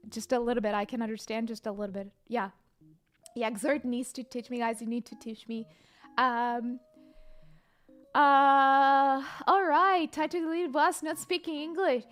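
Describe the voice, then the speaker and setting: A young woman, a livestreamer chatting casually and reading viewer comments aloud, excitable and hesitant in her English. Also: high voice. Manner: chatty, excitable, bursts of squeals and laughter; hesitant non-native English with many fillers.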